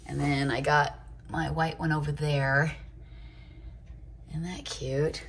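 A woman in her fifties talks in a slightly low voice, chatty and gushing, straight into a phone held at arm's length. Faint background noise sits under her voice, recorded at home in a kitchen. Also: little pitch movement, slow pace. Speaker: vlogger. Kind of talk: casual chatty vlog.

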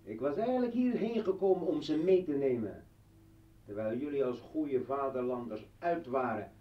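An actor playing a bitter, drunken revolutionary leader and ex-husband in a radio play, taunting and theatrical. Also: male voice, medium pitch, expressive, normal speed, recorded from a distance, natural voice. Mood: provoking. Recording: dull, roomy old radio recording.

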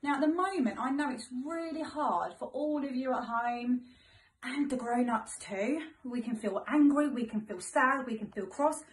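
A British woman in her thirties, a teacher reading a picture book aloud to young children in an expressive, gentle way. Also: high-pitched, moderate pace. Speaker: primary school teacher. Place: living room, indoors. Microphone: phone camera a few metres away. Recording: echoey room, far from mic.

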